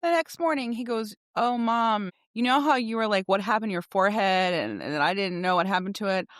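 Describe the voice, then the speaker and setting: Female co-host, middle-aged, chatty mom who reenacts conversations; medium-pitched voice, dry recording. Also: fairly quick, no echo. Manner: animated storytelling, acts out dialogue. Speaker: podcast co-host. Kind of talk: casual chatty parenting podcast talk.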